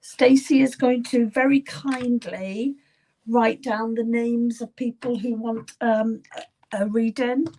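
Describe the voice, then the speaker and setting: A middle-aged woman hosting a live psychic reading session, chatting gently with viewers by name, her voice still a little croaky and at times falling to a whisper. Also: on the high side, fairly even intonation, slow, poor audio. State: slightly croaky voice. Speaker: psychic medium.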